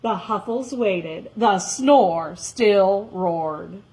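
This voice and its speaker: A woman reading a children's story aloud in a dramatic, expressive way, drawing out words for emphasis. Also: medium pitch, extremely slow, low-quality recording.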